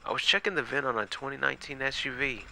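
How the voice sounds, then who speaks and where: A man playing an exhausted, frightened night watchman recording halting horror-story log entries, dramatic and sometimes breaking into whispers. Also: medium pitch, steady tone, creaky, pushed and bright, rough recording. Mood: uneasy. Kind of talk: first-person horror audio log narration.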